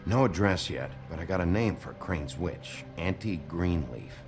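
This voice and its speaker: An actor playing a gruff, weary sheriff detective in a noir video game, with a gravelly voice and a terse, blunt manner. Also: male voice, lively intonation, unhurried, over music. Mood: grim, determined. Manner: terse, blunt, low-key. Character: gruff, weary adult male fairy-tale sheriff detective.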